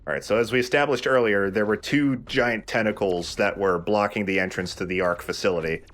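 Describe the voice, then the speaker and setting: A man's voice: lowish voice, fairly even intonation, fairly quick, fairly bright tone. A man giving casual commentary as he plays through a video game. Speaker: let's player.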